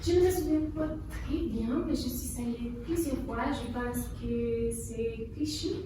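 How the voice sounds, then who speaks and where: A woman's voice: fairly high pitch, steady tone, a little slow, close to an everyday voice. A woman playing a confident, chatty contestant in a scripted amateur quiz show dramatisation. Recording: very echoey, distant, noisy background.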